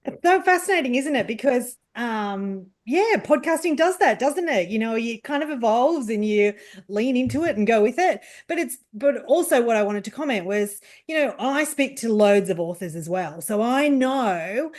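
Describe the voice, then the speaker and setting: A woman's voice: a little high, animated, moderate pace, darkish tone. A woman hosting a podcast, interviewing her guests in a casual, conversational style.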